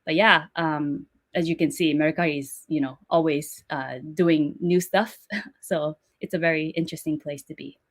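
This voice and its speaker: A woman's voice: low-pitched, fairly even intonation, normal speed. A woman working in HR who moderates an online panel, introducing speakers with a friendly, filler-heavy manner.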